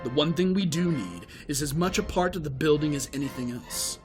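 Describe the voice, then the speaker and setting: A man playing a cryptic villain with grand plans in an audio drama, measured and portentous. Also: fairly high pitch, music in the background, close to an everyday voice. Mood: brooding.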